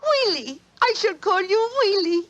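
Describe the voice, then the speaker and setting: An actress playing a flirtatious, haughty French circus star with a French accent in a 1940s radio drama style. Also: female voice, extremely high pitch, sing-song, a little slow, dull audio, exaggerated voice. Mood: seductive, coy. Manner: coquettish, theatrical.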